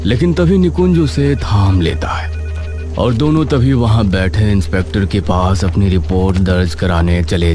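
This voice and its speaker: A man narrating a horror cartoon story in a steady, dramatic tone. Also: deep voice.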